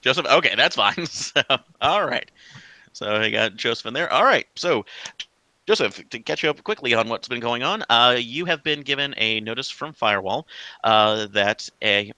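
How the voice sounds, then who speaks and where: A man's voice: mid-range voice, lively intonation, normal speed, pushed and bright, dry recording. A man acting as game master for an online tabletop game, talking in a loose, rambling way full of fillers. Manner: rambling, filler-heavy, easygoing.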